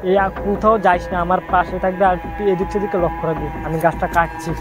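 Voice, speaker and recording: Man acting as poor woodcutter dad, high voice, protective, plain-spoken, repetitive, amateur horror drama, music behind. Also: steady tone, quick pace, lightly acted. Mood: chatty, cautious. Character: poor woodcutter father, protective of his young son.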